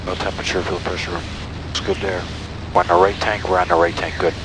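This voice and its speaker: A man's voice: low voice, flat delivery, fast. A man piloting a small plane, talking in clipped radio calls and casual cockpit self-narration into a headset. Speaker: private pilot and aviation vlogger. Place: cockpit of a small airplane in flight. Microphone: aviation headset. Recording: loud small-airplane cabin noise.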